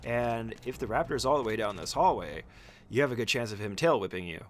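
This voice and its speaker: A man's voice: fairly low pitch, animated, fast talker, a little hiss or hum. A man doing casual, conversational speedrun tutorial commentary, explaining each step in an informal way.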